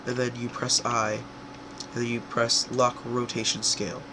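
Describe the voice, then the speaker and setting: A man's voice: mid-range voice, completely flat delivery, moderate pace, noisy background. Stuffy-nosed young guy rambling thru a tutorial, lots of um and uh, ac humming in the background. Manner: rambling, filler-heavy, self-correcting. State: congested from a cold. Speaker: tutorial creator. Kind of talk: casual screen-recorded software tutorial.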